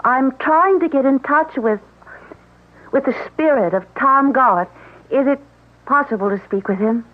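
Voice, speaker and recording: A timid, halting woman slowly asks questions of a spirit. Her slow, hesitant voice comes through a thin, tinny old radio broadcast. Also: high voice. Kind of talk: 1970s radio drama acting.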